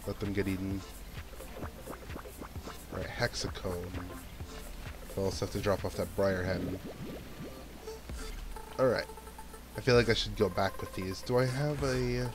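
A man with a stuffy nose giving casual commentary while playing a video game, thinking aloud about what to do next. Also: on the low side. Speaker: let's play gamer. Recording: game music playing under voice. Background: game background music.

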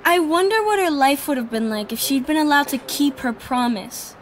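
A woman's voice: high voice, expressive, moderate pace. A female actor playing an inquisitive, thoughtful young girl time traveler in an audio drama. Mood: curious, thoughtful. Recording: busy crowd chatter behind the voice.

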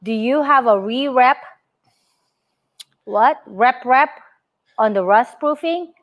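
A woman's voice: fairly high pitch, takes it slowly, highs cut off. A woman co-hosting a live stream from a garage, cheerfully reading out viewer comments. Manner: cheerful, reads comments aloud. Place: home garage workshop.

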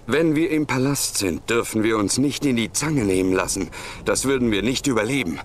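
An actor playing a tough, cautious warrior in a fantasy game, terse and warning. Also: male voice, medium pitch, animated, moderate pace, slight background noise, natural voice. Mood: wary.

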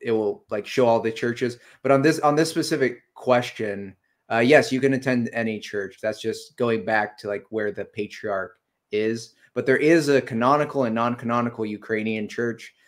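A young man joining a livestream as a guest, answering in a relaxed, agreeable way with fillers, heard over a video call. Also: mid-range voice, moderate pace. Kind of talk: casual conversation on a livestream. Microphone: video call webcam with earphones.

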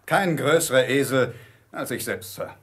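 An actor playing a decisive, level-headed ship's captain in a radio play, speaking firmly and methodically. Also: male voice, medium pitch, normal speed, a bit bright, slightly rough. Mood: gruff. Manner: firm, methodical, commanding.

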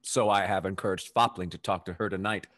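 A man's voice: low voice, fairly even intonation, brisk, a bit bright. A young man playing a suave, scheming rake in a period stage comedy, sly and theatrical. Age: young adult. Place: dark indoor room, video call.

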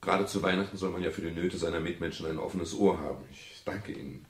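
An actor playing a wry, world-weary private detective in a 1970s German radio crime drama, speaking in a dry, measured and polite way. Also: male voice, low-pitched, fairly even intonation, breathy edge, no echo. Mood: dryly ironic. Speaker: lead actor and first-person narrator. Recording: 1970s radio play with music.

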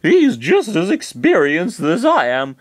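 A man's voice: sits high, wildly swooping pitch, unhurried, dry recording, studio quality, voice pushed up, heavily acted. A man voicing a nervous young man, stammering and hesitant. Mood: anxious.

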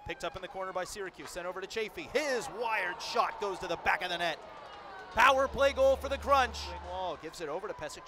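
A man calling a hockey game play by play, rattling off passes quickly and shouting out the goals. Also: high-pitched, noisy background. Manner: rapid calls that build to shouted goal calls. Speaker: play-by-play announcer.

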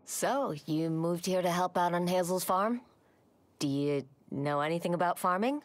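Woman playing a low-voiced laid-back young butcher. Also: normal speed, close to an everyday voice. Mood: casual, awkward. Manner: casual, slightly awkward. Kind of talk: video game character dialogue.

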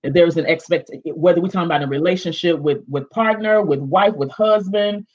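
A woman panelist with a big, expressive voice, speaking emphatically and directly on a video call, often restarting with fillers. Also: lowish voice, brisk. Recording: dull lo-fi video call audio.

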